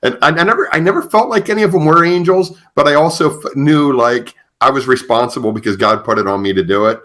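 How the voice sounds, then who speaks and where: A man hosting a podcast, telling stories conversationally in a halting way with repeated phrases. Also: a little high, fairly bright tone. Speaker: podcast host.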